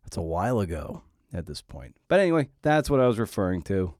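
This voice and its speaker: A man hosting a podcast in a conversational interview style, often halting and repeating words as he thinks his way through. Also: low voice, sing-song, darkish tone, no room sound, clean studio sound. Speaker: podcast host.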